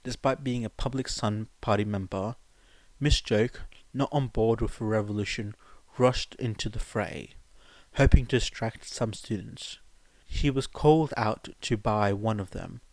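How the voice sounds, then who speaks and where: A man's voice: on the low side, no echo. Man reading and chatting, dry close sound. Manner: informal, rambling, self-deprecating. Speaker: podfic reader. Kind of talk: amateur story narration with sung verses, casual rambling chat afterwards.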